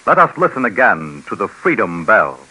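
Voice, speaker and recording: A man announcing formally on 1950s radio in a crisp, declamatory manner. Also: fairly low pitch, very animated, normal speed, pushed and bright, low-quality recording.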